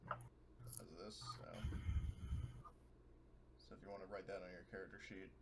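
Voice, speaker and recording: A man's voice: low-pitched, level tone, moderate pace. A man chatting casually as a player in a tabletop roleplaying game, informally narrating what his character does. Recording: noisy audio with clicks.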